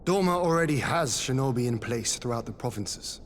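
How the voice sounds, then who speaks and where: A man's voice: mid-range voice, expressive, slight background noise, lightly acted. Man playing an earnest, grave noble lord. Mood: grave, resolute. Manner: formal, grave, deliberate. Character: earnest noble lord allied to the war council. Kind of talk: scripted fantasy video game acting.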